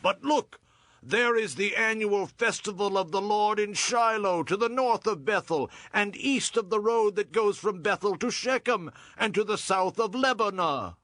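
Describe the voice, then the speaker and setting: An actor playing a solemn elder of an ancient assembly in an audio Bible drama, formal and declamatory. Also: male voice, high voice, unhurried, a bit bright, no room sound. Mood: urgent, instructing.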